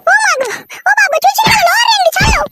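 A woman playing a brash, combative young second wife in a comic village cartoon, shrill and taunting, with a pitched-up voice. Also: racing, bright, forceful tone. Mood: wailing. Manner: shrill, taunting, mocking retorts.

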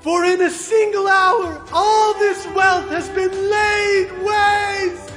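Very high, wailing merchant. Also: male voice, fairly even intonation, a bit bright, soft background music, full character voice. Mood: anguished lamenting. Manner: wailing, drawn-out lament. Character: anguished merchant wailing over lost wealth. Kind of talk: dramatized audio Bible.

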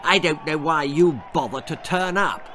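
A man's voice: a little high, moderate pace, sharp tone, a faint crowd, voice pushed up. An English man voicing an arrogant, mocking schoolboy athletics champion in a storybook, with sneering taunts.

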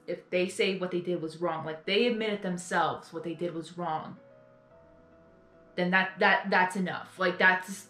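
A young adult woman giving casual reaction commentary in an earnest, halting, repetitive way. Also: on the low side, a bit bright. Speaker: reaction video host. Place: small bedroom, indoors. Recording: roomy bedroom echo.

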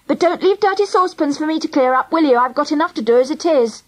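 A woman voicing a busy, mildly exasperated mother in a children's audiobook, brisk and questioning. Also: sits high, animated, pitched above the performer's own voice. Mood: baffled, exasperated.

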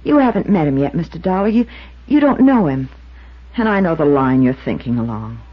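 Through a muffled 1950s radio recording comes a young American waitress. Her husky voice is earnest and defensive, full of hurt. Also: low-pitched, big pitch swings, brisk. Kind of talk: 1950s radio drama acting.

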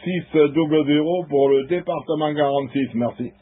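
A man with a fairly high voice talks as a CB radio operator. He is chatty and friendly, making informal contact. The sound is thin and tinny, like a narrow radio band. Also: normal speed, very noisy.

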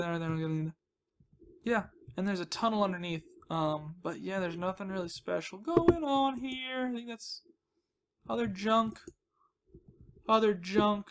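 High-pitched, creaky, goofy man rambling over gameplay. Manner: goofy, playful, repeats words and phrases. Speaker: host of a gaming channel. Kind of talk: casual rambling gameplay commentary.

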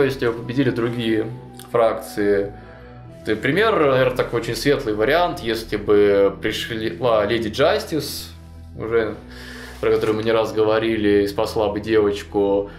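A man's voice: medium pitch, moderate pace. Young man telling a story, roomy room. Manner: animated, many fillers like "вот" and "ну". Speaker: storyteller guest. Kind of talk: casual storytelling chat. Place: studio with chalkboard wall. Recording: roomy studio with faint music.

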